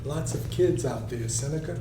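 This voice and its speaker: A man's voice: medium pitch, moderate pace, natural voice. A man playing a stern but caring middle-aged father and restaurant boss, scolding and reassuring by turns, in a screenplay table read. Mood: gentle, reassuring. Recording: echoey room, distant mic, faint hum.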